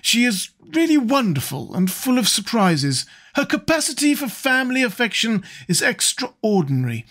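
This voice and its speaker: A man voicing a witty, cynical aristocratic gentleman with a languid drawl. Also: very high voice, sing-song, normal speed, few pauses, voice pushed up, exaggerated voice.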